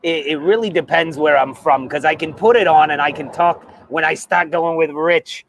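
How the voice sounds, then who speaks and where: An American man from the South chatting casually as co-host of a football fan show, animated and quick to laugh. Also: a little high, a little hiss or hum, low-quality recording. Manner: animated, laughs often, slips into put-on accents. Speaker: podcast co-host.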